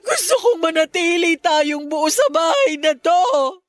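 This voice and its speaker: A woman's voice: very high voice, lively intonation, a little slow, no echo, clean studio sound. An actress with a Filipino accent playing a heartbroken, abandoned middle-aged wife and mother, tearful and desperate in radio drama style. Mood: desperate, crying.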